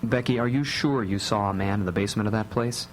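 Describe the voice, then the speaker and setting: A man playing a calm, protective father in a radio drama, with dry, mock-formal humour. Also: on the low side, fast talker, natural voice. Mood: serious, protective. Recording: live radio recording, faint hum.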